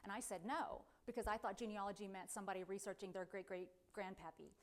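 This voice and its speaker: A middle-aged woman with a booming voice, speaking into a microphone as guest speaker at a library event. She talks in a chatty, self-deprecating way, with many fillers and restarts. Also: steady tone, fast. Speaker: guest speaker, independent researcher. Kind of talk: public lecture. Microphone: handheld or podium microphone.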